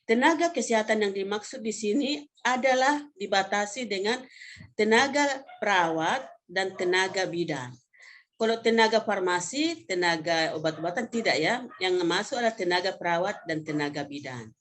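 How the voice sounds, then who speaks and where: A woman in her fifties from North Sumatra, Indonesia, presenting slides informally as a professor, in a conversational, halting way with self-corrections. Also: mid-range voice, expressive, fairly bright tone. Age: 50s. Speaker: vocational education professor presenting a webinar.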